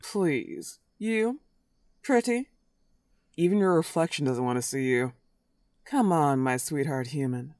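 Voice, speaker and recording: A woman's voice: deep voice, expressive, a little mellow, no room sound, clean studio sound. A woman playing a smug, clingy, lovesick werewolf in a comedic roleplay audio drama, taunting and cooing. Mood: mocking, then boastful, coaxing. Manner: taunting, drawn-out teasing and cooing.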